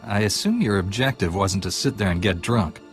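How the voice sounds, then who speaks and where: A man's voice: on the low side, animated, moderate pace, faint music behind. A man playing a stoic, noble hero in a video game, terse and composed. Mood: dry.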